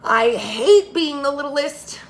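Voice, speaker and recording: A woman's voice: high-pitched, expressive, slow pace, creaky, pitched above the performer's own voice, full character voice. A woman voicing a frustrated little girl in a storybook, plaintive and complaining. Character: frustrated little girl, youngest in her family.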